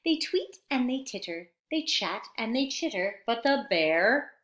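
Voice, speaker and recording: A woman reads to young children like a teacher at storytime. Her fairly high voice swoops expressively at an unhurried pace, with an audible room echo. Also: very animated, a bit bright. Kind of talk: animated picture book read-aloud. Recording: roomy, echoey sound.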